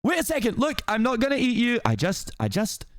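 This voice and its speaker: A grumpy ogre with a mock Scottish accent, put on by a man reading aloud. He talks gruffly and in short bursts. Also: very high-pitched, huge pitch swings, fairly quick, no room sound, voice pushed up, full character voice.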